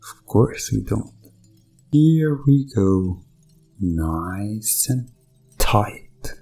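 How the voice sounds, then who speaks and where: A man playing a sinister doctor in a hypnosis ASMR roleplay, soothing and hypnotic, repeating himself with calm menace. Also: lowish voice, creaky, soft background music, exaggerated voice. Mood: quietly menacing. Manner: slow, soothing, hypnotic, repetitive.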